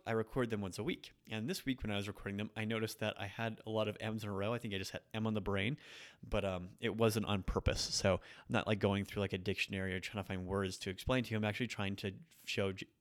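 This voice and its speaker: A man hosting a podcast, teaching Japanese grammar in a relaxed, casual way, often correcting himself and slipping in Japanese phrases. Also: on the low side. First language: English. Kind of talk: casual spoken grammar lesson.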